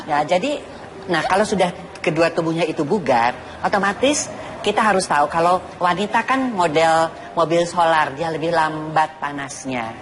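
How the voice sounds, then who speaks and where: A woman's voice: low voice, moderate pace. A woman doctor giving informal health advice on a talk show, explaining step by step with everyday comparisons and repeating her points. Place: television studio with audience. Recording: noisy TV studio with loud audience. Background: audience noise and laughter.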